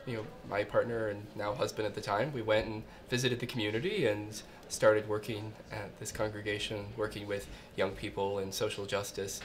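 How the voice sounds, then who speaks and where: A man's voice: fairly low pitch, slight background noise. A man from Ohio who serves as a state representative, telling his story fluently and conversationally in a documentary interview. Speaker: state representative, former minister.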